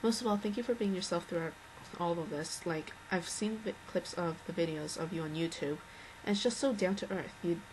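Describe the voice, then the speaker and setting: A teenage girl with a low, slightly worn voice, rambling earnestly through an unscripted video message as a fan. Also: a little fast, few pauses, darkish tone, a little hiss or hum, rough recording. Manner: rambling, earnest, many restarts and fillers. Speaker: fan addressing a singer.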